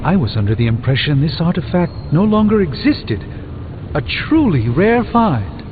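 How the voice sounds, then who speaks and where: An actor playing an erudite, dryly witty sorcerer in a video game, with pompous, theatrical, ornate delivery. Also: male voice, fairly high pitch, big pitch swings, normal speed. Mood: intrigued, appraising. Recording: muffled phone-like band, music behind.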